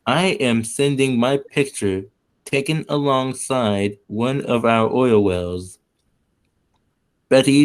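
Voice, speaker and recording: A man's voice: mid-range voice, close to an everyday voice. A man acting an ardent young suitor reading out his love letters in a 1940s style radio play, earnest and pleading. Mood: pleading, devoted.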